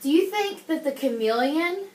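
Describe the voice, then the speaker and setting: Female primary teacher, high-pitched, interactive read-aloud, encouraging and checking in often, recorded in an echoey room. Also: animated, moderate pace, darkish tone. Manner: encouraging, addresses the class as guys, frequent check-in questions. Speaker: teacher reading a picture book to young children. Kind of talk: interactive classroom read-aloud with questions and praise.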